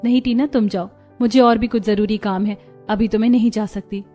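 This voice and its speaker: A woman's voice: medium pitch, steady tone, brisk, mellow, soft background music, lightly acted. A woman playing a modest, reserved young girl from a poor family in a cartoon story.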